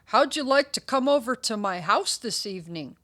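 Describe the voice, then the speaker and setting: Woman as eager, lively storybook party dog. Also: a little high, moderate pace. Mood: eager, inviting. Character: eager, sociable male pet dog throwing a party.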